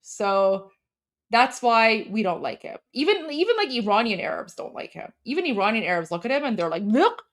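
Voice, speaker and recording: A woman's voice: fairly high pitch, very animated, normal speed. Opinionated Iranian-born woman streamer. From Iran. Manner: heated, opinionated, talks to viewers as "guys". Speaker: co-host of a live stream. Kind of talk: casual livestream commentary.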